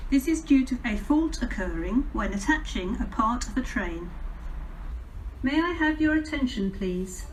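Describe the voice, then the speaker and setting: A woman with an English accent reading formal, measured automated railway announcements in pieced-together phrases over a station public address system. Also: on the high side. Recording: distant station PA speakers, clear background noise.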